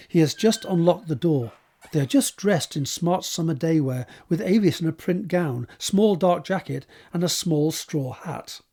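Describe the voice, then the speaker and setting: A man's voice: on the high side, darkish tone, a little hiss or hum. A man reading scripted stage directions as the narrator of an audio drama.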